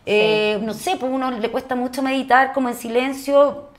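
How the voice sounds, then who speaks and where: A woman's voice: high voice, fairly even intonation, moderate pace. A Chilean woman speaking informally and colloquially as a guest in a casual interview. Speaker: actress, podcast guest.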